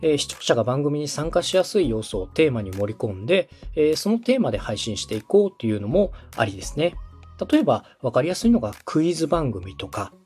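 Polite male coach with lively, varied intonation. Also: a little high, a little fast, slightly rough, soft background music. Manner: polite, instructive, with step-by-step explanations. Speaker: podcast host and coach, a radio director. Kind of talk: structured explanatory coaching on podcast production.

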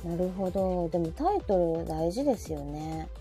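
A woman's voice: fairly low pitch, lively intonation, moderate pace, warm tone. A woman hosting a casual chat, interviewing attentively with frequent backchannel replies and recaps. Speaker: talk show host and picture book writer. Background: background music.

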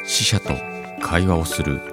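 A man's voice: sits low, a little slow. A man playing a solemn narrator in a dramatic scripted trailer for an audio drama. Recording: prominent mallet music behind voice. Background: music with mallet percussion.

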